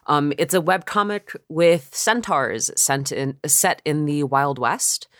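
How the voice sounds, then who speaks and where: A podcast co-host chatting casually and informally, haltingly circling back mid-thought. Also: mid-range voice, a bit bright, no room sound, clean studio sound. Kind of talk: casual chat.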